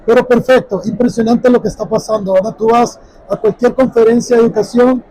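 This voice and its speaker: A man's voice: extremely high pitch, steady tone, quick pace, dark tone, slightly rough, rough recording. A man hosting a friendly interview at a busy trade fair, with many fillers and restarts. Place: busy expo hall.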